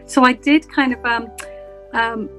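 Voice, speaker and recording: A woman in her fifties with a Yorkshire accent, recounting her working life as a guest on an interview show. She speaks in a measured, reflective way and pauses often on "um". Soft music plays faintly behind her. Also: on the high side, steady tone, rough recording. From England. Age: 50s. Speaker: guest, senior prosecutor. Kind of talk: interview storytelling.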